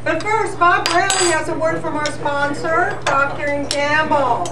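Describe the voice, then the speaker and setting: A woman's voice: high voice, unhurried. A woman hosting a live show as a radio news reporter, reading scripted old-time radio news in a senior center hall. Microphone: picked up from far off. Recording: roomy, noisy, distant live event recording.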